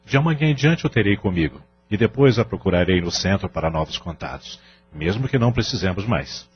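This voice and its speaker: A humble, earnest father of a family played by a man speaks formally and soothingly in a fairly low voice. He sounds middle-aged, in audio drama style. The recording is muffled, with a faint hum. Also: lively intonation, a little fast. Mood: grateful, earnest.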